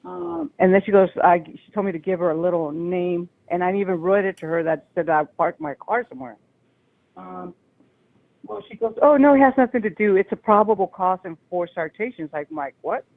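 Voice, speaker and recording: A woman caller with a loud voice, telling a long agitated story over the phone to a call-in show. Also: on the low side, lively intonation, moderate pace, vocal fry. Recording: tinny phone line.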